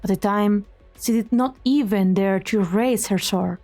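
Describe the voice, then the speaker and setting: A woman reading scripted dramatic story narration in a measured, solemn manner. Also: mid-range voice, warm tone. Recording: background music under the voice.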